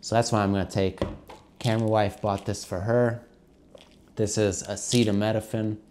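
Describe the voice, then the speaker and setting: A man's voice: lowish voice, flat delivery, moderate pace, darkish tone. A middle-aged man with a cold and a congested voice, chatting casually to English learners and often calling them guys. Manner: chatty, keeps addressing viewers as guys. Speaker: English teacher vlogger. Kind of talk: casual explanatory vlog to learners.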